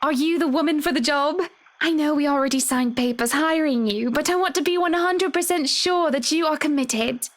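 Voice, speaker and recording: A woman's voice: high voice, normal speed, natural voice. An actress playing an effusive female employer in a video game, who calls people "dear" and "love". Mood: businesslike. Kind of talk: voiced video game dialogue.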